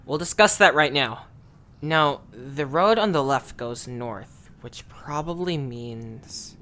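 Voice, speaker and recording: Male actor as proud, bossy young creature leader, blunt and impatient, with swooping, animated intonation for an audio story. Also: medium pitch, slow pace, a little hiss or hum. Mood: commanding. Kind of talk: audio drama character acting.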